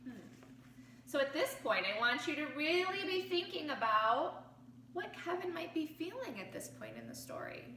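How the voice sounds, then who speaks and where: A woman reading a picture book aloud to children like a teacher, expressively, pausing to pose questions to her young listeners. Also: high-pitched, very animated, pushed and bright. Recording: roomy, distant mic with faint noise.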